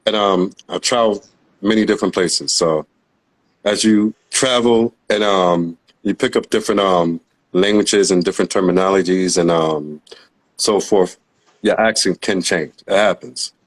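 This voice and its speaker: Host, low voice, American with West African-tinged accent, earnest lecturing. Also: male voice, moderate pace, low-quality recording. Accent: mixed American and West African. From United States. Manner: earnest, lecturing, long explanations. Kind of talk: casual live-stream talk.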